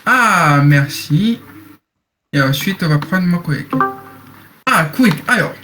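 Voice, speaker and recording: An eighteen-year-old man moderating a roleplay game server over voice chat, speaking in a halting way and repeating himself. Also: high voice, lively intonation, music in the background.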